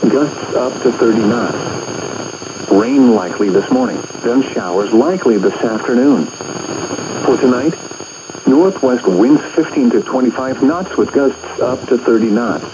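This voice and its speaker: A synthetic man's voice reads a weather bulletin in measured, impersonal phrases. It sits at a medium pitch. The radio sound is dull and lo-fi, with clear background noise. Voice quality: synthetic text-to-speech. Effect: weather radio broadcast. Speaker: automated weather radio announcer. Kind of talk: scripted weather bulletin reading. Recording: noisy muffled radio picked up by microphone.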